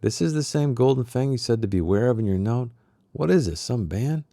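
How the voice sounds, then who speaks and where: A man's voice: mid-range voice, expressive, fairly quick, gravelly, warm tone, no echo, studio quality, voice pushed up. A man reading a novel aloud as a laid-back stoner private detective, casually asking questions in slang. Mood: probing.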